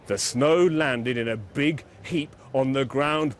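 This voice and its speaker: A man telling a children's bedtime story in an expressive, dramatic way, leaning on key words. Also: mid-range voice, takes it slowly, slight background noise.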